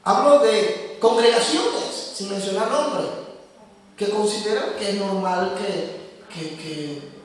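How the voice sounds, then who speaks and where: A male pastor with a high-pitched voice delivers a sermon, speaking to the congregation directly in a conversational way. He sounds distant from the microphone, and the large church hall carries a long, ringing echo. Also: slow pace, a little hiss or hum. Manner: conversational preaching, addresses listeners directly. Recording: echoey hall from far off.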